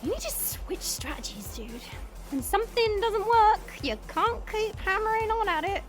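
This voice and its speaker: Immortal female brawler, played by a woman: very high voice, lively swings, taunting and playful, faint music behind. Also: moderate pace. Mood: mocking. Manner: casual, taunting, slangy. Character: cocky, teasing immortal female fighter, playful yet reluctant to hurt. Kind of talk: dramatic audio roleplay acting.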